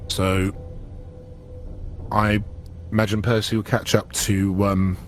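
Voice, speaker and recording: A man's voice: on the low side, level tone, slow pace, soft background music. A man speaking casually as a player in a tabletop roleplaying game. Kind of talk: casual chat.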